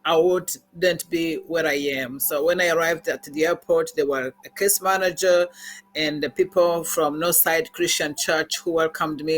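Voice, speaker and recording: A middle-aged woman from Rwanda with a Rwandan accent, telling her story as a podcast guest in an earnest, measured way with many pauses and fillers. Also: low voice. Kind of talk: personal testimony in a podcast interview.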